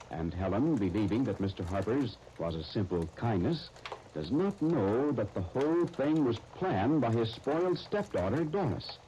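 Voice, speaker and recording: An American man announcing and narrating from a script in polished, deliberate 1930s radio style. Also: lowish voice, warm tone, highs cut off. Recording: noisy 1930s radio broadcast recording.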